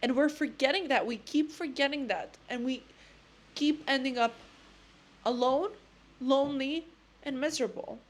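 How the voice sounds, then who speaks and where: A woman's voice: high-pitched, moderate pace, pauses often. Blunt, impassioned Serbian-accented woman vlogger. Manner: impassioned, blunt, repeats words when emphatic. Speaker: lifestyle vlogger. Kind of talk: casual monologue to camera.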